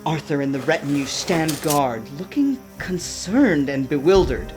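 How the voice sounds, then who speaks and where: A woman's voice: sits low, expressive, a little slow. A woman narrating a radio play from a script, setting out each scene as storyteller. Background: music.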